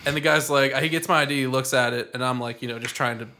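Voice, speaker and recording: A man's voice: mid-range voice, fairly even intonation, fast talker, bright, forceful tone, slight background noise. A young man co-hosting a podcast, chatting casually about a movie, laughing often and quoting its lines. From United States. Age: young adult. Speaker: podcast co-host.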